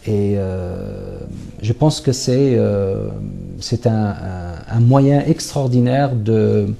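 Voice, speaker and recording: A man's voice: on the low side, extremely slow, creaky, warm tone. A man, a writer being interviewed on TV, speaking hesitantly with many fillers and restarts. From Algeria. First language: Kabyle. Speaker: guest writer.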